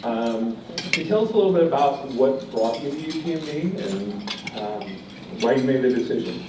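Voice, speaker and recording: Moderator, man, easygoing, some ums; distant and boomy with dishes clattering. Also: moderate pace, background noise. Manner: relaxed, hesitant with ums. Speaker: panel moderator. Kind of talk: moderating a student panel. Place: large banquet hall. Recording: echoey banquet hall, distant mic, dish clatter. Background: cutlery and dishes clinking.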